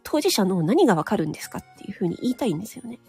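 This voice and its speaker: A woman's voice: mid-range voice, very animated, rapid-fire, creaky, dark tone, husky, faint music behind. A woman talking frankly on her own audio channel in a casual monologue, with many small nodding asides. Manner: frank, chatty, full of nodding asides and tag particles. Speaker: solo audio broadcaster.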